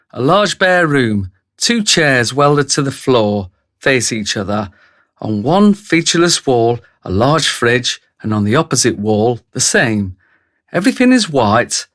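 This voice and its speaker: A wry male storyteller, voiced by an actor narrates a radio drama. He is detached and deadpan, setting out each phrase deliberately. The voice is of medium pitch and recorded very dry. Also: no room sound.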